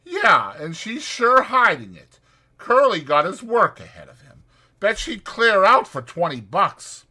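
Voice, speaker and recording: Irritable ranch hand played by a male narrator, bossy and protective, pitched up, forceful, rustic American speech. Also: big pitch swings, measured pace, heavily acted. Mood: disgusted. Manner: brusque, scolding, rural American dialect.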